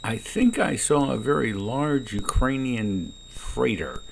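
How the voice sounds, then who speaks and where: A man who is a pilot, giving calm explanatory commentary on his own flight from the cockpit of a small plane. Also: medium pitch, slow pace, creaky. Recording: noisy small-plane cockpit, radio transmissions.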